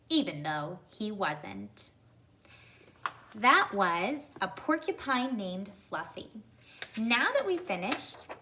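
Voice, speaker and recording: A woman reading a children's story aloud, addressing young listeners deliberately and pausing to ask them questions. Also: mid-range voice, pushed and bright, slight background noise.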